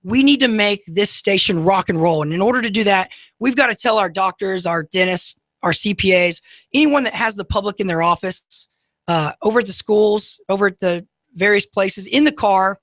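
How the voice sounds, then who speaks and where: Man in his 30s, high unusual voice, upbeat talk radio host, dry studio sound. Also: normal speed, fairly bright tone, no room sound. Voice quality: high, unusual voice often mistaken for a woman. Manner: upbeat, motivational, many fillers. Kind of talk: talk radio monologue with ad reads. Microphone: studio microphone.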